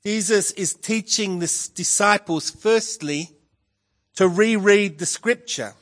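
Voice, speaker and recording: Croaky-voiced man preaching slowly. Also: sits high, a bit bright. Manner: slow, measured preaching with emphatic rises. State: hoarse, croaky voice with a cough. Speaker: pastor. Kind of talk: sermon.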